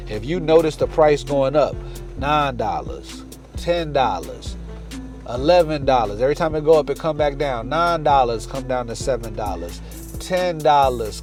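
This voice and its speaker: A man with a medium-pitched voice presents an instructional lesson as the host. He moves between reading aloud and informal commentary, leaning on key phrases and repeating them. He addresses the viewer directly, with music playing behind him. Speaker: trading educator, channel host.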